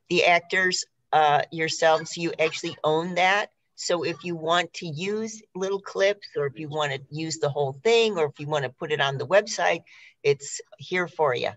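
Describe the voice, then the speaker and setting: A woman hosting a radio talk show, explaining things in a casual, rambling way. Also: fairly low pitch. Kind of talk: casual chat.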